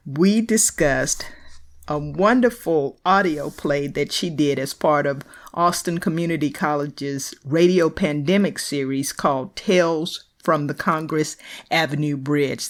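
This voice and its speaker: Woman host introducing an interview show. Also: low-pitched. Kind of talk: scripted-sounding podcast introduction with casual asides.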